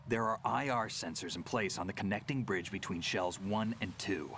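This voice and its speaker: An actor playing a calm, terse soldier ally in a video game cutscene, giving clipped, matter-of-fact orders. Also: male voice, lowish voice, moderate pace, slight background noise.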